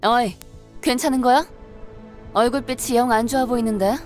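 A woman's voice: a little high, normal speed, darkish tone, close to an everyday voice. A woman playing an easygoing, blunt, teasing fighter with a casual way of talking. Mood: concerned. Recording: music under voice.

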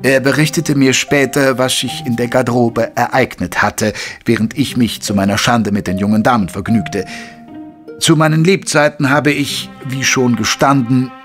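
An earnest, dignified gentleman chronicler played by a man narrates in a mid-range voice. His phrasing is formal and solemn, in the manner of an audio drama prologue, over faint music. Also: mid-range voice, fairly even intonation, normal speed, close to an everyday voice. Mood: rueful, solemn. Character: earnest, dignified male Victorian biographer, confessing with shame.

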